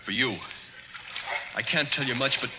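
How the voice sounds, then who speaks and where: An actor playing a nervous, hunted young man, halting and hurried, in an old-time radio drama. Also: mid-range voice, background noise, close to an everyday voice. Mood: desperate. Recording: dull old radio recording, music behind.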